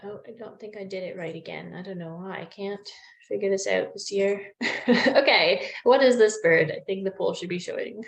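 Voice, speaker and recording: A young woman explains bird identification over a video call, friendly and full of little ums, her voice a touch worn. Her pitch sits in an ordinary middle range. The sound has a noticeably echoey room around it. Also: moderate pace, creaky. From Newfoundland. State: losing her voice, clearing throat. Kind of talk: online webinar lesson.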